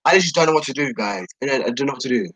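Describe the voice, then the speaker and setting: A teenage male vlogger with a mid-pitched voice, recorded dry and close. He sounds tired and talks in a halting, repetitive ramble, often leaving sentences unfinished. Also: lively intonation, a bit bright, breathy edge, no echo, low-quality recording. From United Kingdom. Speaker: video creator talking to subscribers. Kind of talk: casual rambling chat to viewers.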